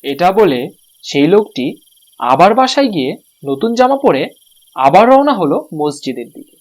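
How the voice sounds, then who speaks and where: A man's voice: high voice, very animated, a little slow, darkish tone. A man narrating a scripted cartoon story as the storyteller.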